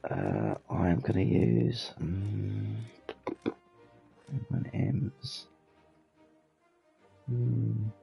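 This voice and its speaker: A man's voice: on the low side, huge pitch swings, very slow, gravelly, pauses often, breathy edge, dull audio, faint music behind. A British man hosting casual flight game commentary in a rambling, self-correcting way. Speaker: gaming channel host.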